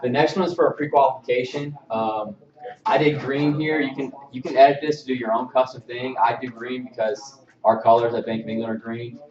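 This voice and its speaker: Male presenter, hesitant informal delivery, mid-pitched, distant mic, echoey training room. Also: fairly even intonation, fairly quick, darkish tone. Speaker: mortgage loan officer giving staff training. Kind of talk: informal presentation of email templates. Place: indoor training room with projection screen. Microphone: far camera microphone. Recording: distant, roomy, slightly dull mic.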